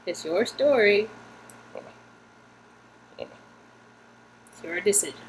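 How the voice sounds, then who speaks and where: A woman's voice: medium pitch, animated, very slow, slight background noise, poor audio. A woman co-hosting a story game read-through, chatting casually and playfully. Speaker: co-host.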